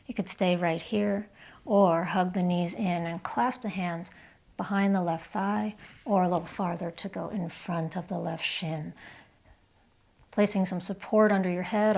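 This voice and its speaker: A woman leading a guided yoga and relaxation session as the instructor, speaking in a gentle, calm, soothing way with breathy cues. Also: on the low side, measured pace. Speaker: yoga instructor and channel host.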